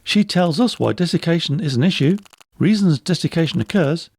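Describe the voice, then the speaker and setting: Gravelly, lively male host intro. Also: on the high side, fairly quick, dry recording. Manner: bright, read-out welcome. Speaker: podcast host. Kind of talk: scripted introduction.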